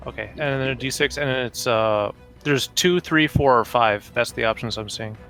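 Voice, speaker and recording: A man talks casually as a player at a tabletop game, describing his moves and dice. His voice sits at a middle pitch, with faint music behind it. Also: moderate pace, pushed and bright. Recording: music under the voice.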